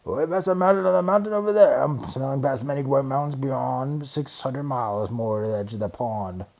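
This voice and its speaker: A big, gruff storybook bear, acted out by a man reading aloud. He growls and brags with stubborn pride. Every challenge gets a snort or a sneer. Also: medium pitch, fairly quick, darkish tone, voice pushed up, lightly acted. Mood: boastful. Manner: bragging, snorting, grunting. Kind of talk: children's storybook character voice.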